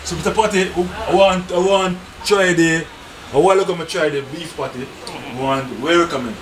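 A man's voice: fairly high pitch, steady tone, moderate pace. A man, chatting casually as a vlogger inside a small takeaway shop, often restarting his sentences. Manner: chatty, repeats himself, many restarts. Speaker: vlogger interviewing a shop owner. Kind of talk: casual street vlog chat. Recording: roomy shop with loud background noise.

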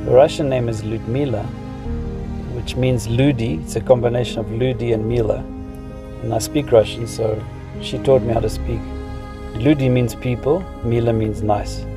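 A man in his fifties with a Zimbabwean accent tells personal stories for a vlog. His pitch sits in the middle, and he explains things casually as he reminisces. Music plays clearly behind his voice. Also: steady tone, moderate pace. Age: 50s. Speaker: vlogger.

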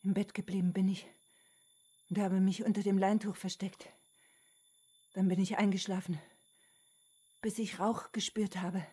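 An actress in a German radio drama playing a traumatised female cook from 1920s Vienna, halting and subdued. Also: mid-range voice, level tone, dark tone, slightly rough. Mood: haunted recollection.

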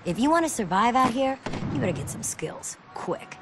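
A woman's voice: a little high, big pitch swings, normal speed, noisy background. An actress voicing a streetwise, sarcastic young punk woman in a video game cutscene. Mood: wry, teasing. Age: young adult. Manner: wry, sarcastic, teasing.

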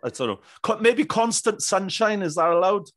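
A man's voice: high-pitched, animated, normal speed, no echo, studio quality. A man, hosting a casual, jokey interview. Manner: chummy, jokey. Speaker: podcast host. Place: small indoor home studio. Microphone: studio mic on boom arm, headphones.

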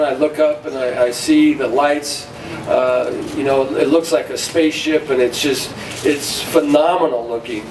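A middle-aged man, a football coach, speaking off the cuff with restarts and fillers. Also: medium pitch. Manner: halting, repeats words, many fillers. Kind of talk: off-the-cuff press conference remarks. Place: conference room at a stadium. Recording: echoey room from far off, noisy.

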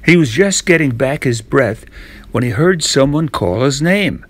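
A man narrating a scripted classic tale as a storyteller, in a measured way. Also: medium pitch, animated.

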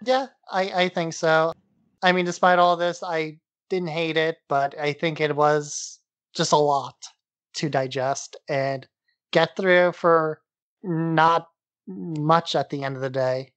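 A man's voice: fairly high pitch, fairly even intonation, slow, fairly bright tone, dry recording. A man co-hosting a podcast, talking casually and conversationally. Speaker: podcast co-host.

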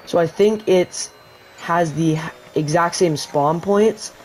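A man's voice: on the high side, takes it slowly, faint music behind, rough recording. A man giving a casual, rambling voice-over tutorial for a survival video game, explaining as he plays. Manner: relaxed, rambling explanation with restarts. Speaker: gaming YouTuber.

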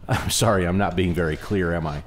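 An actor playing an eager, apologetic, hesitant historian in an audio drama. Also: male voice, low-pitched, steady tone, a little fast, close to an everyday voice. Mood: awkward, polite. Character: eager, awkward male historian. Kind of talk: full-cast audio drama acting.

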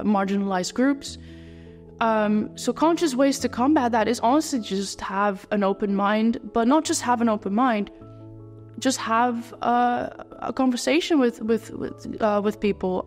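Young woman, Dutch accent, interviewee, quick stumbling delivery, long reverb, faint background music. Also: on the high side, darkish tone. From Netherlands. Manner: fast talker who trips over words, many restarts and fillers. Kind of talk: casual interview answers. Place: living-room set with lamp and window, indoors.

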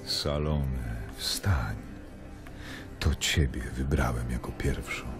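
A man's voice: rumbling low, steady tone, slow pace, full character voice. An actor playing a mysterious, solemn, forgiving risen holy man in a radio drama. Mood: gentle, commanding. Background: music.

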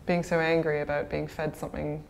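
A woman's voice: low voice, little pitch movement, a little fast, vocal fry, a little hiss or hum, low-quality recording. A woman in her thirties interviewing conversationally, seated in a small room. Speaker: interviewer, magazine editor. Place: small indoor office room, seated at a table.

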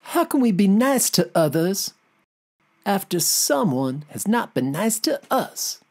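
Female educational presenter, soft dark tone, measured pace, expressive pitch. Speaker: discussion question presenter. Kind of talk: scripted educational reading.

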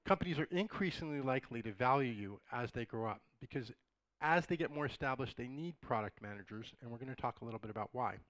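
Man, slightly raspy, middle-aged, casual lecture, dry close sound. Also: mid-range voice, expressive, fast talker, a bit bright, no room sound, low-quality recording. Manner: casual, joking asides to the audience. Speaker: conference speaker. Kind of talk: conversational lecture. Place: dark auditorium, in front of a projected slide.

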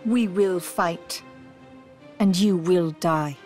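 A woman's voice: lowish voice, very slow, a little mellow, soft background music. A woman playing a defiant, resolute young pirate leader in a video game cutscene.

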